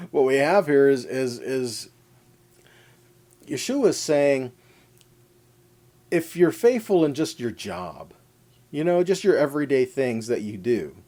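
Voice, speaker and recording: A man's voice: mid-range voice, dark tone. A man teaching an informal sermon in a conversational way, addressing listeners directly and stressing points with repeated, parallel phrases. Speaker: preacher teaching a scripture lesson. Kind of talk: informal sermon with scripture readings.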